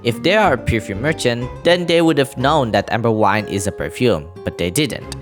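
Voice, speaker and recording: A man's voice: mid-range voice, lively intonation, moderate pace, music in the background. A man narrating a scripted game story recap as a video creator, dropping in casual asides.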